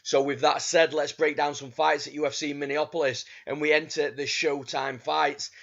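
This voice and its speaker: A man hosting a fight podcast, his voice a little croaky, reading his intro in a formal announcer way. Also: a little high, level tone, moderate pace, a bit bright, lo-fi sound. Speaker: podcast host. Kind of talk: scripted intro and sponsor read.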